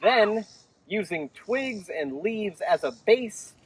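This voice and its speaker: Animated, high-pitched man reading a storybook. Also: slow, slightly rough, far from the mic, poor audio. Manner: theatrical, animated. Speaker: children's storytime reader. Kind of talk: expressive picture-book read-aloud.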